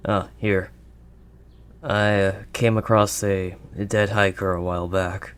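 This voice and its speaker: A man voicing a gruff but kindly werewolf of the wild woods in an intimate audio roleplay, with a halting, gently protective manner. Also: low-pitched, flat delivery. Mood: gentle, awkward. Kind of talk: audio roleplay, intimate second-person acting.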